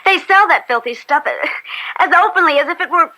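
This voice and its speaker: A woman's voice: extremely high pitch, expressive, fairly quick, sharp tone, exaggerated voice, rough recording. A young woman acting in a radio drama as a judge's earnest, opinionated American daughter, gushing and emphatic. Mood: indignant, gushing. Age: young adult.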